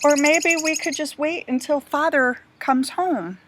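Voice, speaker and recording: A woman's voice: high-pitched, measured pace, fairly bright tone. A woman voicing a worried, pleading young boy in a storybook read-aloud. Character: anxious young boy, easily upset, little brother.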